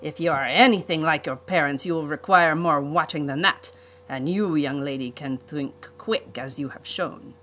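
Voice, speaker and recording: A woman voicing a pompous, menacing male villain, a vain botanist, in a storybook reading with slow, emphatic delivery. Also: sits low, a bit bright. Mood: haughty, scornful.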